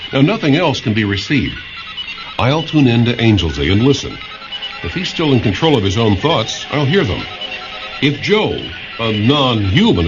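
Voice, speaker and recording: A man's voice: medium pitch, close to an everyday voice. A man playing an earnest, insistent scientist in a vintage sci-fi radio drama, explaining things with dramatic emphasis. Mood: intent. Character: earnest male scientist who probes minds, urgent and insistent. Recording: dull vintage radio sound, music underneath.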